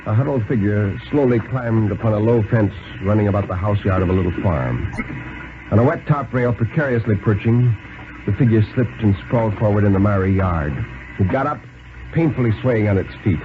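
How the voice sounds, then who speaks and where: A man reading a thriller story aloud as a 1950s radio narrator, in a dramatic, deliberate style. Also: on the low side, narrow, tinny audio, background noise.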